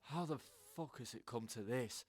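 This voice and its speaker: An actor playing a desperate, defiant man in an audio drama, with a forceful and bitter manner. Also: medium pitch, normal speed, husky, no echo, lightly acted. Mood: bitter, anguished.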